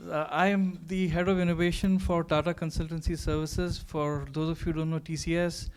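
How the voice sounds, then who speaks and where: A man's voice: fairly high pitch, little pitch movement, fairly quick, clean tone. A man introducing himself as a panelist on a conference stage, matter-of-fact and leaning on many "uh" fillers.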